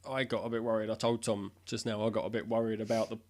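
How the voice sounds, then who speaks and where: A man's voice: fairly low pitch, level tone, fast, a little mellow. A man co-hosting a podcast in casual chat, whose voice is still recovering, telling stories and acting out what people said. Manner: chatty storyteller, acts out dialogue. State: throat still recovering, occasional cough. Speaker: podcast co-host.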